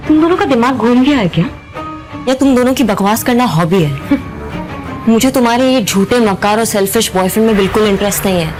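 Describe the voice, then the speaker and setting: Woman acting a high-voiced feisty young heroine. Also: lively intonation, fairly quick, music in the background, lightly acted, rough recording. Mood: sassy scorn. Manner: sassy, slangy Hindi-English mix. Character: feisty, outspoken young woman, wronged ex-girlfriend. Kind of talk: audio drama acting.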